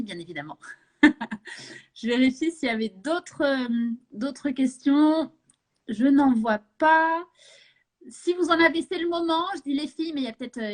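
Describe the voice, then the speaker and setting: A woman hosting a live session, chatting casually with many fillers and quick laughs. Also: sits high.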